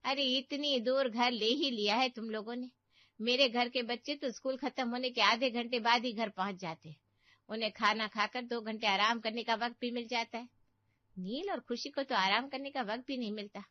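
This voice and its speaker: A woman's voice: on the high side, steady tone, normal speed, no echo, lo-fi sound, lightly acted. A woman playing a nagging, meddling older aunt in a cartoon story, with a scolding, pitying and boastful manner. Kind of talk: dramatic Hindi cartoon story acting.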